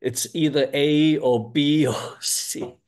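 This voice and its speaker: A man's voice: on the high side, steady tone, slow pace. Easygoing man telling a wry anecdote. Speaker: guest. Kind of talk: casual anecdote.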